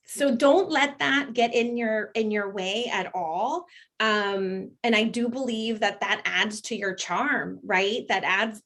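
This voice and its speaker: A woman's voice: medium pitch, fairly bright tone. A woman moderating a corporate career panel over a video call, talking in an upbeat, encouraging, chatty way. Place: home, joined by video call.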